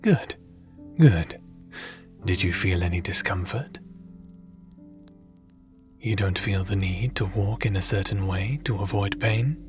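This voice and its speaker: A low-pitched, seasoned adventurer played by a man in an ASMR audio drama, with music underneath. He is wry and caring, speaking softly with measured, gently theatrical phrasing. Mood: gentle, attentive. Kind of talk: ASMR audio roleplay acting.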